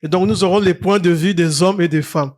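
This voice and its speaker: A man from Congo-Kinshasa hosts a studio talk show in a notably high voice. He talks dramatically, piling up rhetorical questions and lively emphasis. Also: fairly quick. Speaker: host. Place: studio set.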